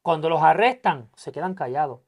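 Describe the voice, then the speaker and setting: A man's voice: fairly high pitch, animated, a little fast. A man hosting a podcast, talking casually about politics with a guest, speaking emphatically and acting out quoted dialogue in his stories. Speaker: podcast host.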